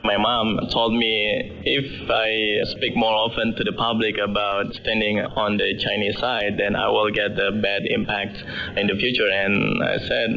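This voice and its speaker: A young man from Indonesia with an Indonesian accent answering interview questions haltingly, repeating phrases, on a noisy shortwave radio capture. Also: on the low side, flat delivery, vocal fry, pushed and bright, highs cut off. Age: young adult. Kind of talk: unscripted interview answers in second-language English.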